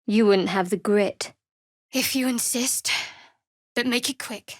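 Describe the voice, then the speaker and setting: A woman playing a guarded, sardonic young cleric of a dark goddess in a fantasy game, speaking in a measured, dry, wry way. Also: on the high side, dry recording. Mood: cool, guarded. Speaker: video game voice actor. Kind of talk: scripted fantasy game dialogue.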